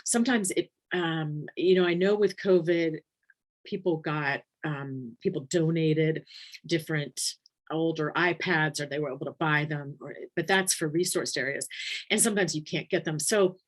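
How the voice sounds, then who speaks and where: A woman teaching an online nursing class in a very low, deep voice. She talks casually and thinks aloud, with plenty of ums, restarts and asides. Her pitch sits near the bottom of the usual range for women. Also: normal speed. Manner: warm conversational, many ums, thinks aloud. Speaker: palliative care nurse educator. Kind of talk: casual webinar teaching, reading chat questions.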